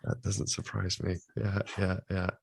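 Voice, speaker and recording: A young British man, a physiotherapist, answering interview questions in a measured way, with many fillers and restarts. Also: deep voice, monotone, creaky, a little hiss or hum, poor audio. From United Kingdom. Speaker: guest, physiotherapist.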